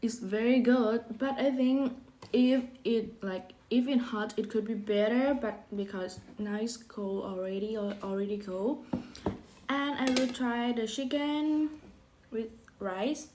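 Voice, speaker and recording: A woman's voice: fairly high pitch, unhurried, warm tone, a little hiss or hum. A woman vlogging casually about food, speaking in simple, hesitant English. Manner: hesitant, simple non-native English phrasing. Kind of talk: casual food vlog to camera.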